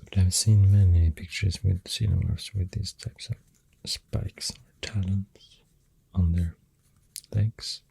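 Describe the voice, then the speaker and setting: A man with a Swedish accent speaking softly in a halting, half-whispered ASMR ramble, close to the microphone. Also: low voice, steady tone. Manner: hushed, breathy, half-whispered. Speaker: ASMR host. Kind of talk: soft-spoken ASMR rambling.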